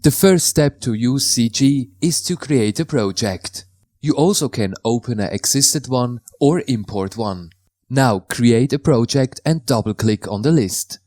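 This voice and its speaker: A man's voice: medium pitch, darkish tone, no echo. Swiss German man giving dry tutorial. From Switzerland. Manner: careful, plain instructional delivery in slightly non-native English. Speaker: software tutorial presenter. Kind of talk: screen-recorded software tutorial voice-over.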